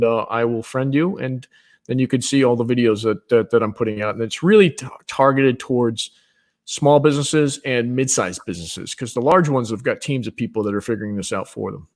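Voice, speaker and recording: Direct-talking man as a video call guest. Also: mid-range voice. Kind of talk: casual conversation over video call.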